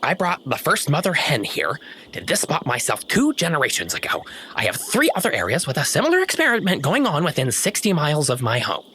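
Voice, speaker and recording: A man's voice: a little high, huge pitch swings, fairly quick, bright, forceful tone, slight background noise, pitched above the performer's own voice, exaggerated voice. A man voicing a scrawny, eccentric naturalist in a tabletop game, fussy, stammering and defensive. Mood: indignant, defensive. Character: tall scrawny bald eccentric chicken-breeding naturalist, indignant.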